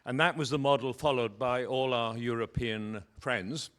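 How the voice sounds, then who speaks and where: A man in the audience asking a question at a public meeting, speaking in a measured way with small restarts. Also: medium pitch, steady tone, a bit bright.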